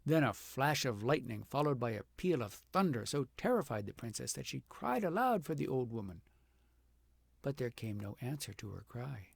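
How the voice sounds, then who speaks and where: Male audiobook reader, husky and creaky, dramatic fairy-tale delivery, close dry sound. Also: medium pitch, big pitch swings, normal speed, no echo. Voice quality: gravelly, slightly husky. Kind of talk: expressive storybook narration of a fairy tale.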